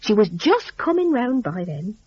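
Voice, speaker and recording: A woman storyteller with a husky voice and very lively pitch. She narrates dramatically in folksy rustic phrasing, as if talking to you. The audio is a little dull and lo-fi. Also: a little slow, no room sound.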